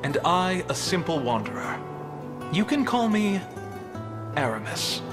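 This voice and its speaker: A man's voice: high-pitched, very animated, unhurried, music in the background. A man playing a courtly, guarded wanderer in a fantasy video game, speaking formally. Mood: courteous, modest.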